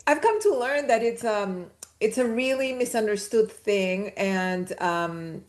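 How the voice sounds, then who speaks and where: A woman's voice: medium pitch, lively intonation, unhurried, darkish tone. Guest, woman from Chile, medium voice, conversational storyteller, laughs easily. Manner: chatty, storytelling, laughs mid-sentence. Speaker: podcast guest. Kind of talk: casual conversation.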